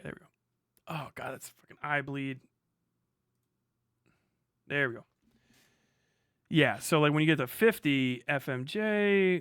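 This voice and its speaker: A man livestreaming casually, muttering figures as he reads and thinking aloud in halting bursts with plenty of swearing. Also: medium pitch, fairly bright tone, clean tone, dry recording, clean studio sound. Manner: swears freely. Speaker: live streamer.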